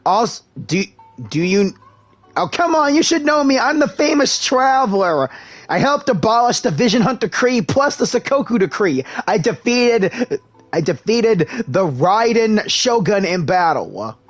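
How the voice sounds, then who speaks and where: A man's voice: high voice, sing-song, a little slow. A man doing live let's-play commentary, playful and hammy, with role-played replies and stammering asides. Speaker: gaming streamer. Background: game music.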